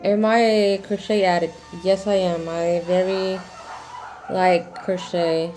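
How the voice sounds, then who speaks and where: A woman in her twenties hosting a casual crochet Q&A vlog, talking in a halting, rambling way with lots of fillers and restarts. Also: lowish voice, flat delivery, darkish tone, faint music behind, rough recording. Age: 20s. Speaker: crochet vlogger.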